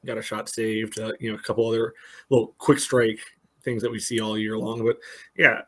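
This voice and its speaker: A man's voice: lowish voice, little pitch movement, a little fast, pushed and bright. A man co-hosting a soccer podcast, giving casual game analysis peppered with filler phrases. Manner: peppers talk with "you know".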